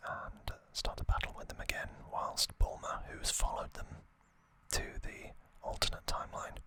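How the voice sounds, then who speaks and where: A man softly whispering an ASMR recap in a breathy, unvoiced voice, with an unhurried, halting delivery and pauses. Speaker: ASMR host.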